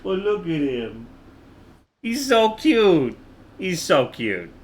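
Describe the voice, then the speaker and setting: A man's voice: sits high, big pitch swings, takes it slowly. A man chatting casually as a game livestreamer, rambling and repeating himself for emphasis.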